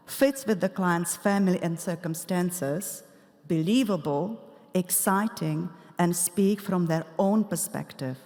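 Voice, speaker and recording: Low-voiced Eastern European woman in echoey hall. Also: darkish tone. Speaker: health coach, conference speaker. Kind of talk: prepared conference presentation with asides. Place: large indoor conference hall. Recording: echoey hall with long reverb.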